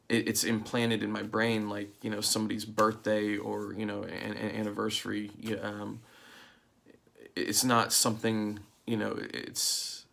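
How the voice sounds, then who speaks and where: A man in his forties talking in a casual, reflective monologue to his channel, halting often with fillers and restarts. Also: on the low side, gravelly, fairly bright tone. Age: 40s. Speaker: vlogger.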